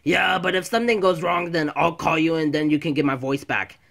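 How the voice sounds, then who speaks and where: A man's voice: on the high side, a little fast, gravelly, a bit bright. A man voicing a cocky cartoon badger girl who has a manly voice and talks with smug swagger. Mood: pleased, smug.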